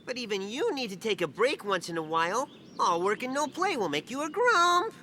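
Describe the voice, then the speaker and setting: A tiny, childish male elf for a video game. The voice is high and bright and swings animatedly, with a cheeky, excitable, self-admiring manner. Faint noise sits behind it. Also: normal speed. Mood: pleading. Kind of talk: English video game dub acting.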